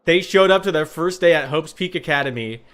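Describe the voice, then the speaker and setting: A man's voice: on the high side, a little fast, a bit bright, smooth. Casual male streamer, faint music behind. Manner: rambling, informal, sweary. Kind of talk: casual chat with viewers.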